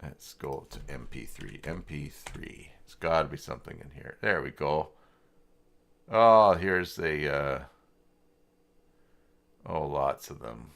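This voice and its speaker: A man's voice: rumbling low, lively intonation, slow, gravelly, long pauses, fairly bright tone. A man hosting a casual screen-share tutorial, thinking aloud and muttering hesitantly to himself as he searches. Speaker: live stream host demonstrating website software.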